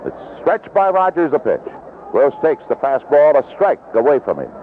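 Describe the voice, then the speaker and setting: A man's voice: on the high side, big pitch swings, moderate pace. A man calling a baseball game live as a play-by-play announcer, in 1970s radio commentary style from a ballpark. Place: outdoor ballpark broadcast booth. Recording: lo-fi vintage AM radio broadcast, heavy noise. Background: loud stadium noise.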